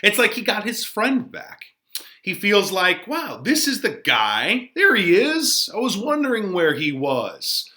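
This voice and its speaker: A man teaching, giving informal, animated, conversational commentary on a play. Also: high voice, measured pace. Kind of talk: explanatory lesson commentary on a play.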